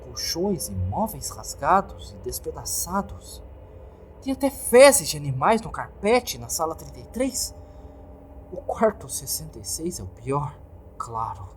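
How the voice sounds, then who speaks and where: A man's voice: a little high, very animated, unhurried, a little hiss or hum. A Brazilian man voicing an indignant, exasperated female hotel maid who scolds and complains emphatically in a horror story. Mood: exasperated complaint.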